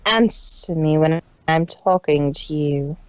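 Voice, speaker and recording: A woman voicing a cruel, scheming cartoon pony sister with a deliberate, ice-cold, menacing delivery. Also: deep voice, no room sound. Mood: cold menace.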